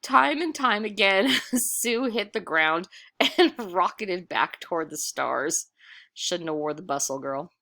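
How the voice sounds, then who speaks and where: Bright, unhurried woman reading a storybook aloud. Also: lowish voice, creaky, no room sound, studio quality. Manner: playful, amused, animated, addresses listeners directly. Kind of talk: picture-book read-aloud with casual asides.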